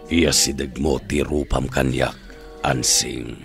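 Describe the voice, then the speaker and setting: A man playing a gruff, lovelorn older prisoner in a comedic radio drama, gravelly voiced, with a Filipino Ilocano accent. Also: steady tone, slow pace, fairly bright tone, heavily acted. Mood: tender, teasing. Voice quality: gravelly, very deep. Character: gruff older male prisoner, lovelorn and teasing, called uncle. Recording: faint music bed.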